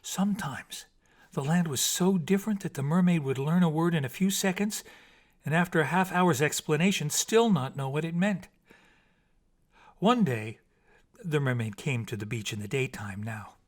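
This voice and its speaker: A man reading a bedtime story as scripted narration, in an expressive, theatrical and deliberate manner. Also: fairly high pitch. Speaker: storybook reader.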